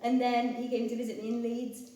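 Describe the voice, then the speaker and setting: A woman's voice: fairly high pitch, completely flat delivery, brisk, dark tone. A young woman from England answering interview questions about her partner in a chatty way, with frequent ums. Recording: echoey room, mic far off.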